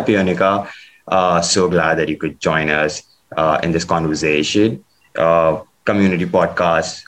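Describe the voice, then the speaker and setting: A man's voice: low voice, rough recording. A man hosting a podcast, reading a prepared introduction and then asking questions with frequent hesitant fillers. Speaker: podcast host.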